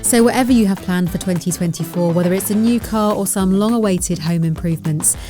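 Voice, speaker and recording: A woman reads promotional copy in a mellow, slightly low voice. It is a scripted advert voice-over with faint music underneath. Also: brisk.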